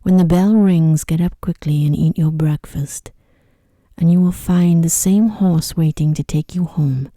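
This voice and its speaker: Woman reading as a stern courteous Beast, storybook monster, low, very mellow and soft, measured formal delivery. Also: steady tone, no room sound, studio quality. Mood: stern, commanding. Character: commanding male Beast, stern but courteous. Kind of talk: softly read fairy-tale bedtime story.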